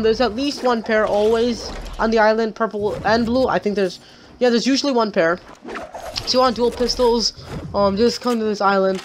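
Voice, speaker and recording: A gaming channel host with a raspy voice and a sore throat, talking through a gameplay tutorial in a rambling, chatty way. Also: medium pitch, slight background noise.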